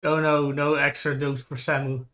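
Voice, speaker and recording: A man casually recapping anime as a livestream co-host, speaking haltingly and often repeating his words. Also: on the high side, level tone, fairly bright tone.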